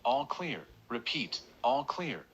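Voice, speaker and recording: A man reading scripted emergency broadcast announcements in a formal, deliberate manner. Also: mid-range voice, very animated, fairly bright tone, lo-fi sound, a little hiss or hum.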